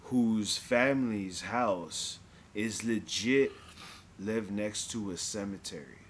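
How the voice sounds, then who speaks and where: A man's voice: lowish voice, takes it slowly, slight background noise. A man co-hosting a podcast, telling a story in laid-back slang with a Southern California accent. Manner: laid-back slangy storytelling, says bro and dog a lot. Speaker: podcast co-host. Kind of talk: casual chat.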